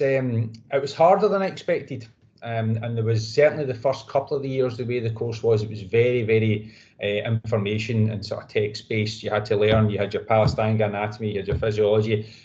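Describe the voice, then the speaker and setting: A man's voice: lowish voice, darkish tone, muffled recording. A Scottish man in his thirties answering interview questions in a modest, rambling way. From Scotland. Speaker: guest physiotherapist.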